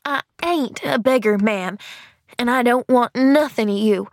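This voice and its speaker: A woman's voice: fairly high pitch, measured pace, no echo. Woman voicing a defiant, sullen little boy. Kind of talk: audiobook character voice.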